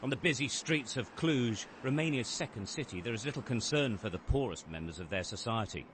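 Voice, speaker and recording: A man reporting as a news correspondent in a measured, grave tone, narrating scripted news. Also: mid-range voice, expressive. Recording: outdoor location with loud background noise.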